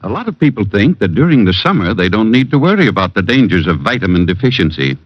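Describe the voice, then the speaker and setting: Low male voice, very lively intonation, vintage commercial pitchman, emphatic, muffled radio recording. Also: fast, husky, dry recording. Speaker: advertising pitchman playing a druggist.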